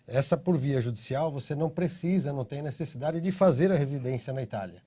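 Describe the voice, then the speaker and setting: Brazilian guy, middle-aged, explaining stuff in an interview, mellow husky voice, keeps saying né. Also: mid-range voice, fast talker. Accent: Brazilian Portuguese. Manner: steady explaining, frequent tag questions. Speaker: interview guest, citizenship consultancy CEO.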